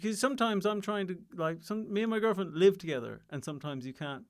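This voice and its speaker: A man's voice: high voice, fast talker. A young Irish man, a comedian guest chatting casually on a podcast, often restarting his sentences. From Ireland. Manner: rambling, self-interrupting, frequent restarts and laughs.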